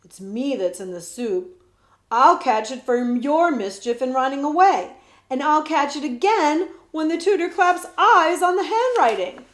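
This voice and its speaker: A woman's voice: sits high, animated, a little slow, pitched above the performer's own voice. A woman voicing a cheeky, streetwise orphan boy with slangy talk, recorded in an echoey room.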